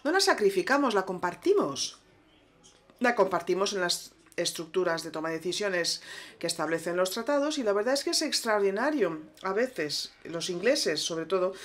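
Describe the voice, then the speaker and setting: A woman working as a simultaneous interpreter at a conference, rendering the speaker's words in a measured, steady delivery. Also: mid-range voice.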